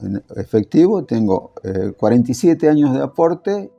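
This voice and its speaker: A man's voice: mid-range voice, big pitch swings, normal speed, vocal fry, lo-fi sound, soft background music. An older Italian-born man recalling his working years in a casual interview, hesitant and full of fillers. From Italy. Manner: hesitant, many fillers and restarts. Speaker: interviewee, former factory worker.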